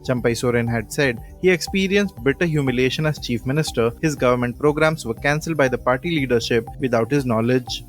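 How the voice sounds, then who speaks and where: A man's voice: mid-range voice, fairly quick, hardly any pauses. Indian-accented male newsreader with music behind. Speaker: news presenter. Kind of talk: scripted radio news bulletin.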